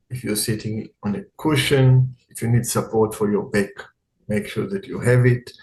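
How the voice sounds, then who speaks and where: A man teaching guided meditation in a calm, unhurried way, speaking in short phrases with long pauses. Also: on the low side, slight background noise, low-quality recording.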